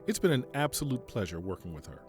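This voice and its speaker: A man playing a stern, principled army general in an audio drama, speaking formally and deliberately. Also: medium pitch, very animated, faint music behind, close to an everyday voice. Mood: formal approval. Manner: formal, measured, deliberate speech. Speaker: military general giving a radio interview.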